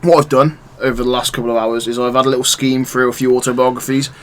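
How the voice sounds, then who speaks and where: A man hosting a casual football podcast, leading the segments in a quick, chatty way. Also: medium pitch, flat delivery, a bit bright. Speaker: podcast host.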